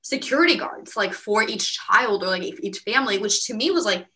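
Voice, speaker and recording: A young woman from Venezuela co-hosting a podcast, with a bright, forceful voice. She tells her own story in a loose, chatty way and leans on "like" again and again. Her pitch sits in an ordinary middle range for a woman. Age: young adult. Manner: fast, filler-heavy, animated with frequent "like". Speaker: podcast co-host. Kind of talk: casual personal storytelling.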